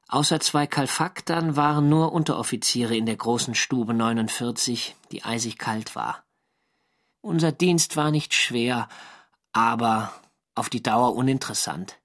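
An actor playing a wry First World War sailor narrating his war diary in measured, clearly articulated German radio drama style. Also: mid-range voice, lightly acted.

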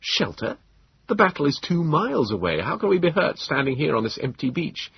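A man voicing an excitable, indignant gentleman adventurer in an audiobook, exclaiming and incredulous. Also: on the high side, brisk, gravelly, husky, highs cut off, pitched above the performer's own voice, heavily acted. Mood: excited, incredulous. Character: excitable, indignant gentleman adventurer telling his own tale.

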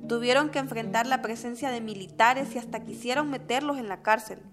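Actress as high-voiced friendly woman activist. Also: brisk, close to an everyday voice. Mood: friendly, earnest. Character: Mercedes, friendly woman activist patiently explaining to comrades. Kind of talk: scripted radio drama dialogue, conversational. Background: music.

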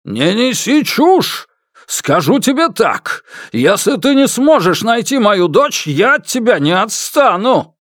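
A narrator, a man, puts on a desperate, enraged father. The voice climbs high and loud, swinging widely as he hurls accusations in hysterical shouts. It is an audiobook character in a dry recording. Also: lively intonation, takes it slowly, no room sound. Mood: threatening. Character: enraged, desperate father hunting his missing daughter.

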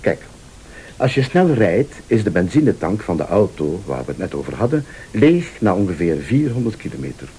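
An actor playing a vengeful rival in a radio thriller, a calm, sardonic accuser who speaks Flemish with deliberate, probing politeness. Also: male voice, lowish voice, a little hiss or hum. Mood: smug, relentless. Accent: Flemish Belgian. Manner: deliberate, insinuating, formally polite.

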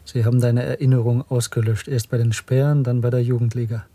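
An actor playing a middle-aged secret dissident, earnest, reflective and intense, in a German radio drama. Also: male voice, medium pitch, flat delivery, fast, dark tone, natural voice. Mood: grave, remembering.

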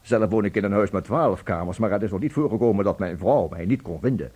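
An actor playing a courteous, pompous company director in a radio play, with a husky voice. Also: male voice, on the low side, fast talker, darkish tone. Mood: puzzled. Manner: formal, pompous, polite. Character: courteous, formal company director, married man, easily astonished.

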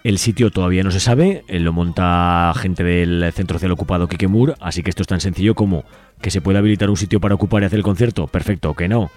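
Low-pitched man co-hosting radio listings. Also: fast, darkish tone. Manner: informal, wry. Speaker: radio show co-host. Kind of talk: casual radio events listings.

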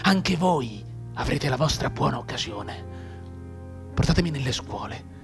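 Un attore che interpreta in un radiodramma un giovane reduce di guerra mutilato, amaro e sardonico, con tono declamatorio e appassionato. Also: male voice, medium pitch, expressive, unhurried, gravelly. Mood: bitter, sardonic. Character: bitter, maimed young war veteran, anguished and sardonic. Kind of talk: dramatic radio theatre monologue. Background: music under the voice.

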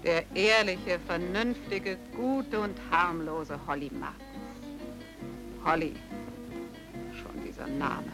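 A proud, bitterly loyal young woman in a radio play. Her medium-pitched voice is cutting and mocking, with music clearly behind it. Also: poor audio. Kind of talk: radio drama acting.

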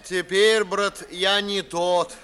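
Henpecked husband, old radio theatre, high voice, slow and sighing, given up. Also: male voice, flat delivery, pushed and bright, exaggerated voice. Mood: weary, nostalgic. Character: henpecked retired officer, resigned and listless husband. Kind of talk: 1950s Russian stage verse acting for radio.

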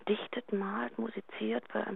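A woman's voice: mid-range voice, flat delivery, measured pace, gravelly, noisy background. An actress playing a chatty, gossipy woman friend leaving an answering machine message in a German radio drama. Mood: apologetic, gushing. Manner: gushing, theatrical, hesitant asides. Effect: answering machine phone message. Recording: thin phone line.

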